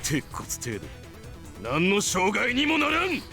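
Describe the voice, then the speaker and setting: An actor voicing a menacing, confident fighter in a Japanese visual novel game, with music behind him. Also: male voice, high voice, huge pitch swings, moderate pace, sharp tone, heavily acted. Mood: fierce, scornful.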